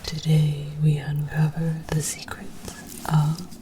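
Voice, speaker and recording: Slow, whispering woman playing alien scholar. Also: low voice, completely flat delivery, noisy background. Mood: mock-scholarly. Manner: deliberate, theatrical, mock-scholarly. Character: alien archaeologist researcher, scholarly and condescending about humans. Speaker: host of an ASMR series. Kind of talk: whispered ASMR scripted narration.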